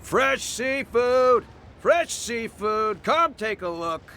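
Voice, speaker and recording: An actor playing a cheery, sly fish seller in a video game, with showy hawker's patter and music behind. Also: male voice, very high voice, expressive, very slow, sharp tone, slight background noise, exaggerated voice. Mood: hawking. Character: cheery, sly amateur fishmonger man hawking seafood. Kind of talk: video game character acting.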